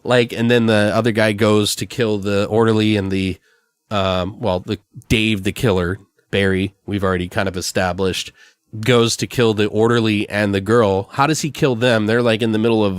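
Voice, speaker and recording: A man's voice: fairly low pitch, fairly even intonation, moderate pace, smooth, no room sound. A man hosting a podcast, brainstorming in a loose, rambling, slangy and profane way. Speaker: podcast host. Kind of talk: casual brainstorming chat.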